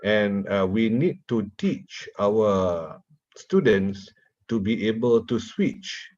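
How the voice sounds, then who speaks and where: A man from Malaysia whose first language is Malay, giving a slow, deliberate university lecture and putting questions to his audience. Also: fairly low pitch, lively intonation, darkish tone, highs cut off. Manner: slow, deliberate, repeats words while asking the audience questions.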